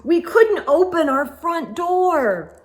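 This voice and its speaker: A woman reading a picture book aloud to children, expressively, with gasps and hushed asides. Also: very high-pitched, expressive, slow. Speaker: children's read-aloud storyteller.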